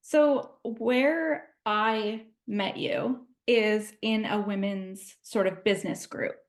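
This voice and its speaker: A woman's voice: mid-range voice, takes it slowly. A woman hosting an interview over a video call, asking questions in a friendly, meandering way with plenty of fillers. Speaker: podcast host.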